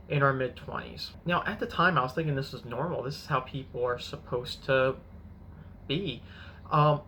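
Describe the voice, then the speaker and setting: A man's voice: mid-range voice, sharp tone, a little hiss or hum. A man in his thirties telling his own money story in a casual, rambling vlog, often correcting himself mid-sentence. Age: 30s. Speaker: finance vlogger.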